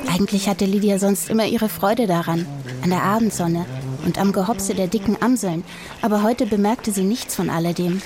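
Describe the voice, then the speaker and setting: A woman narrates a children's story from a script. Her voice sits at a medium pitch, with music clearly heard alongside it. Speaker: audiobook narrator.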